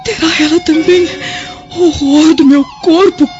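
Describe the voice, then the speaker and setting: An actress in a radio drama playing a dying, embittered mother raving on her deathbed in halting, anguished speech. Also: very high-pitched, fairly even intonation, a little mellow. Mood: bitter, tearful. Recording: muffled lo-fi radio drama with music.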